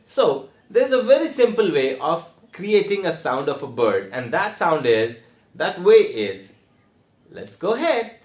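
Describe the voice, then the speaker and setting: Indian guy teaching drama class, kinda high voice that goes up and down a lot, echoey room. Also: big pitch swings, measured pace. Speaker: theatre teacher. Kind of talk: instructional online lesson with demonstrations.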